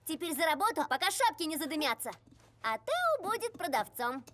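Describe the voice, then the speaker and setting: A woman voicing a spirited young female gnome leader in an animated film, brisk, commanding and encouraging. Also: sits high, slight background noise. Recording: music loud behind the voice.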